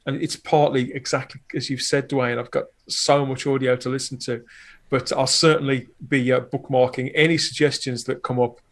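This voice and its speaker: A man's voice: mid-range voice, flat delivery, normal speed. A man guesting on a podcast, talking casually and discursively, often interrupting his own sentences.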